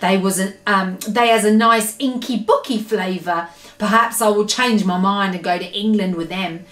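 A woman's voice: mid-range voice, moderate pace, a bit bright, reverberant, lightly acted. Woman putting on a brutish, bellowing man-eating giant. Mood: gloating. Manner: bellowing, scornful, mangled childlike grammar. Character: brutish greedy man-eating male giant, loud and scornful.

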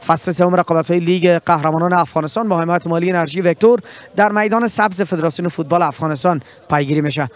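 A young man with an Afghan Dari accent, a sports presenter interviewing after a match and signing off with a handheld mic outdoors. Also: sits high. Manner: formal, fluent broadcaster delivery. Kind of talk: post-match interview and sign-off. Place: outdoor pitchside sponsor backdrop.

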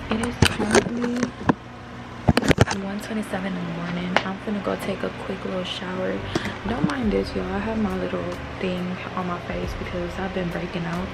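A woman's voice: mid-range voice, fairly even intonation, normal speed, gravelly, heavy background noise. A young adult woman chatting casually to a handheld camera in informal, slangy student-vlogger talk. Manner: informal, slangy, filler-heavy with "like" and "y'all". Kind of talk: casual vlog chat to camera. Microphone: handheld camera at arm's length. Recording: loud music under the voice. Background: loud music.

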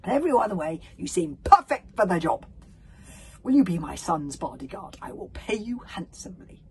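A woman's voice: fairly low pitch, sing-song, moderate pace, gravelly, a little mellow, a little hiss or hum. A woman voicing a hearty, easily impressed male Viking chief in a storybook, eager and full of exclamation.

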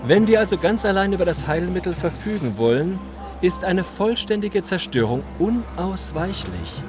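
A man's voice: high-pitched, sing-song, moderate pace, music in the background. A man acting a smug villain boss, swooping delivery. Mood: coldly menacing. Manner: mock-polite, theatrical menace. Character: ruthless gang boss, male, smug, menacingly courteous. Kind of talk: German audio drama acting.

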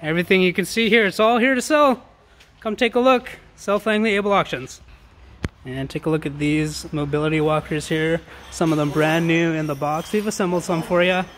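A man's voice: a little high, normal speed, a bit bright. A man presenting auction items in an informal promotional walkthrough, with enthusiastic, slangy sales patter. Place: large warehouse showroom, indoors. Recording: noticeable background noise in warehouse.

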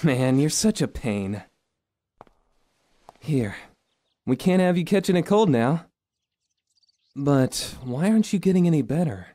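A man's voice: on the high side, big pitch swings, a little slow, pauses often, warm tone, no room sound. A man playing a weary, selfless young man in an anime fan dub, earnest and subdued. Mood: gently teasing.

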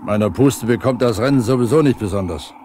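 A gruff veteran line-crew foreman, played by a male actor, proud and quick to take offence. He blusters and grumbles in a mid-pitched man's voice. It is a 1970s radio drama with faint record noise. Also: warm tone, close to an everyday voice. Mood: indignant, grumbling. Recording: faintly noisy 1970s vinyl radio play.

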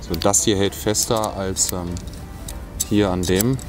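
A man's voice: low-pitched, steady tone, takes it slowly. Young guy reviewing a multitool out in the woods, casual, figuring it out as he goes, faint music behind. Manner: casual, thinking aloud, trying things out. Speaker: outdoor gear reviewer. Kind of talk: hands-on product review. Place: outdoors in a bare forest.

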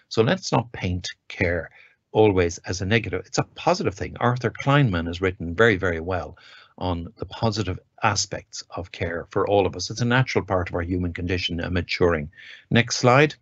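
Deep-voiced man lecturing over video. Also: animated, moderate pace, breathy edge, no echo. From Ireland. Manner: enthusiastic, reads quotes aloud with emphasis. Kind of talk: academic lecture. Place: home study with bookshelves. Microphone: webcam on a video call. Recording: dull lo-fi video call audio, dry.

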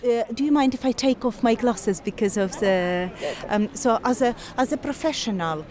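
A woman in her forties, originally from Ukraine, speaks English with a Ukrainian accent in an outdoor park interview, earnest and measured with frequent pauses. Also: fairly high pitch, a little mellow. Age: 40s. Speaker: interviewee, scientist. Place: park outdoors, people milling behind.

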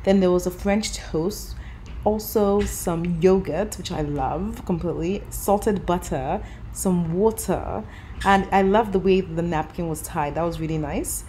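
A woman in her thirties chats brightly through a travel review, with a lowish voice and easy fillers. She speaks to a camera held close, with a faint hum in the background. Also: unhurried. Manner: chatty, upbeat, lots of fillers like "you know". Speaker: travel vlogger. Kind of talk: casual vlog chat and voice-over review. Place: airport lounge, indoors. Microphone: camera held close at arm's length.

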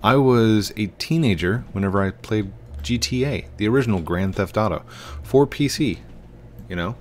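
A man's voice: on the low side, moderate pace, slight background noise. A middle-aged American man giving casual, playful gameplay commentary, rambling to himself and his viewers. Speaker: gaming video host. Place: indoors at a desk, webcam stream setup.

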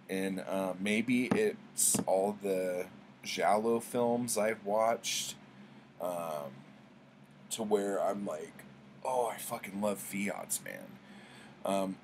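Low-voiced man chatting casually, slightly noisy recording. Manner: profane, slangy, conversational. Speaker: book vlogger. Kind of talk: casual chat, rambling storytelling. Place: small indoor room at home with bookshelves.

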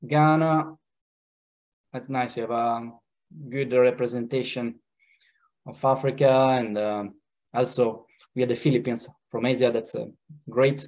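A man with an Italian accent hosts an online webinar in a relaxed way, pausing often with "uh" fillers. He speaks over a video call from a home office. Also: mid-range voice, low-quality recording. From Italy. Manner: relaxed, hesitant, with frequent "uh" fillers. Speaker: webinar host.

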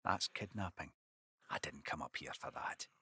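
A man playing a grim, disgruntled guard in a video game, gruff and dismissive. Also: very deep voice, normal speed, bright, forceful tone, no room sound, studio quality, heavily acted. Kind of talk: video game cutscene acting.

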